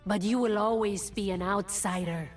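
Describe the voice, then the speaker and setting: An actress voicing a proud, fierce, protective jungle huntress in a video game, menacing and deliberate, with a sardonic edge. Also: female voice, mid-range voice, fairly even intonation, lightly acted. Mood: stern warning. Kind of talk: video game character voice lines. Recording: game clips with music behind.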